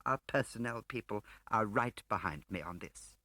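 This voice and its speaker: A man's voice: fairly low pitch, lively intonation, moderate pace, pushed and bright, no echo. A man voicing a pompous, ingratiating civil service chief in an audiobook, with a hesitant, upper-class English delivery. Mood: falsely genial. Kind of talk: audiobook character acting.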